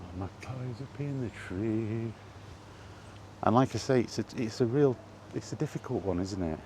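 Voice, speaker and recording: A man chatting casually in a rambling solo monologue, halting and musing, often repeating his phrases, recorded outdoors. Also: fairly low pitch, a little mellow, a little hiss or hum. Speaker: host of a gaming chat series. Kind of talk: casual rambling monologue about video games.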